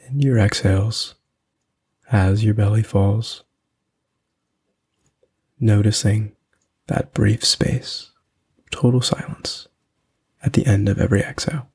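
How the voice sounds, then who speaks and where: Low, gravelly man guiding meditation. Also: darkish tone. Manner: soothing, unhurried instruction with long pauses. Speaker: podcast host and meditation guide. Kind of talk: guided meditation.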